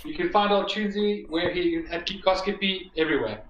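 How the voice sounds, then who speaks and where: A man's voice: sits high, brisk, a bit bright. A South African man co-hosting a casual podcast stream, jokey and laughing often. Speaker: podcast co-host. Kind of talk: casual chat, signing off a stream. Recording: roomy call audio, faint hiss.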